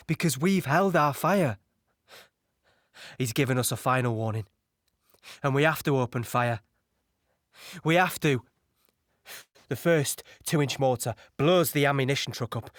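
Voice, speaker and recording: Male actor as British wartime soldier, earnest, reflective, sombre monologue, mid-pitched, dry close sound, audio drama. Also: dry recording, close to an everyday voice. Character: sombre, conscience-troubled British soldier recounting the Normandy campaign. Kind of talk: dramatic first-person monologue in an audio play.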